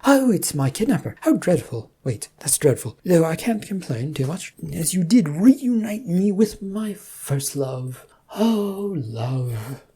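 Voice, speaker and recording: A woman's voice: fairly low pitch, big pitch swings, slow, hardly any pauses, warm tone, breathy edge, pitched above the performer's own voice, exaggerated voice. A woman voicing a pompous, fretful male king in an audio storybook, blustering and dithering. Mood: theatrical, wistful. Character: flustered, pompous, fretful male king, self-absorbed bureaucrat.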